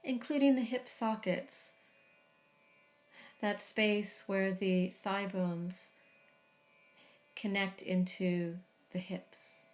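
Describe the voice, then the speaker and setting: A middle-aged woman guiding a body scan meditation, speaking slowly and soothingly with long pauses. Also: mid-range voice, fairly even intonation, soft background music. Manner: slow, soft, soothing guidance with long pauses. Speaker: meditation teacher.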